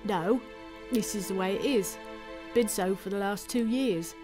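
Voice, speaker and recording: A woman voicing a cocky, excitable male elf, Santa's helper, in a Christmas story. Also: mid-range voice, unhurried, music in the background, natural voice. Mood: matter-of-fact. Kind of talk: cartoonish character acting in a Christmas story.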